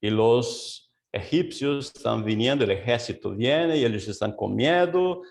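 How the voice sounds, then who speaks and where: A man leading a Bible study over a video call as pastor, mixing Portuguese with Spanish, slow and searching, with many fillers and asides. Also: medium pitch, expressive, vocal fry.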